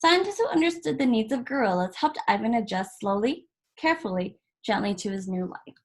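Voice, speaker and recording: Higher-voiced woman reading a children's book aloud. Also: very animated, fairly quick. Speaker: volunteer story reader.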